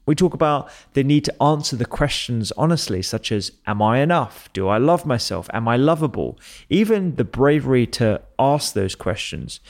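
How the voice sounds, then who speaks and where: A man hosting a podcast interview in a living room, chatty and laughing often, with frequent restarts. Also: mid-range voice. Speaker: podcast host. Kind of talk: casual interview chat.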